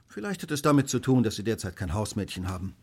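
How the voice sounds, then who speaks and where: A man's voice: on the low side, sing-song, fast, background noise. An actor playing a brilliant, smug Victorian detective in a German radio play, precise and lecturing in his delivery. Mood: analytical, assured. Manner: precise, self-assured, lecturing.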